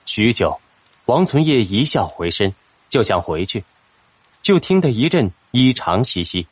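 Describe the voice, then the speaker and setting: A man's voice: lowish voice, very animated, a little slow, breathy edge, dry recording. A man narrating a fantasy novel in a dramatic, scripted storytelling style. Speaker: audiobook narrator.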